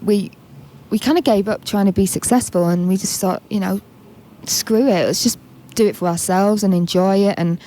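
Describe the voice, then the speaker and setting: Mellow-voiced woman with a Manchester accent. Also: mid-range voice, low-quality recording. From Manchester, England. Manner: relaxed, chatty, frequent "you know". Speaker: musician guest. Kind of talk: casual interview answers.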